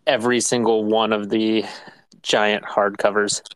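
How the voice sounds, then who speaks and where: A man's voice: fairly low pitch, level tone, a little slow, clean tone, dry recording, low-quality recording. A man from the United States hosting a casual podcast interview, asking easygoing, curious questions. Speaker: podcast host.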